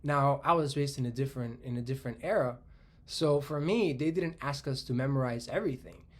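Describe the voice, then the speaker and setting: Twenty-something guy from spain rambling thru an idea solo, lots of restarts and "right?", mid-pitch voice. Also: a little fast. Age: 20s. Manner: thinking aloud, frequent restarts and "right?" tags. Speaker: tech commentator. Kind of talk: casual solo monologue.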